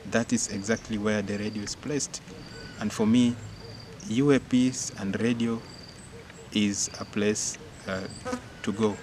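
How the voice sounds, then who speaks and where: A young man, a Jesuit novice, speaking earnestly and in measured fashion about his formation, with frequent fillers. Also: fairly low pitch, steady tone. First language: not English-speaking only; English speaker. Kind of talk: reflective interview testimony. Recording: clear insect and cricket noise behind.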